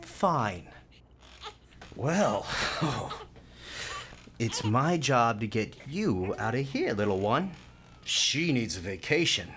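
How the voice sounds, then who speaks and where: A woman's voice: extremely low pitch, big pitch swings, slow pace, pauses often, a bit bright, a little hiss or hum, exaggerated voice. A woman acting in a radio play as a brash, tender, streetwise young hospital volunteer, speaking informally with an American accent. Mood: tender, chatty. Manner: informal, defiant, slangy.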